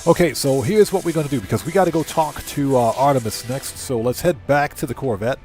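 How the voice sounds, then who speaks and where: A man's voice: mid-range voice, fairly quick, darkish tone, soft background music. A man hosting a livestream, chatting informally and thinking aloud as he plays a game and reads aloud from the screen. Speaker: live streamer and channel host. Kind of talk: casual livestream game commentary, reading chat and on-screen text aloud.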